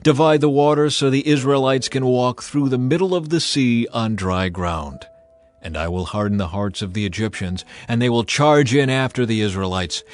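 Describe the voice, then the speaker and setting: A man's voice: medium pitch, natural voice. A man voicing a stern, authoritative God giving commands in a dramatized scripture reading. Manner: authoritative, declarative. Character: commanding male God of Israel, stern and sovereign.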